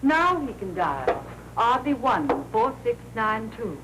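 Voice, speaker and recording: An actress playing a wry, sharp-tongued American newspaper reporter in 1940s film noir style, dry and needling. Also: female voice, on the high side, sing-song, takes it slowly. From United States. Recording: noisy, crackly 1940s film soundtrack.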